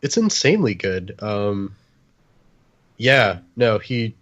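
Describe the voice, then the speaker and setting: A man's voice: lowish voice, very animated, slow pace, fairly bright tone. A man chatting casually on a comedy podcast, slangy and wisecracking. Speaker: podcast panelist. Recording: slightly dull, lo-fi microphone sound.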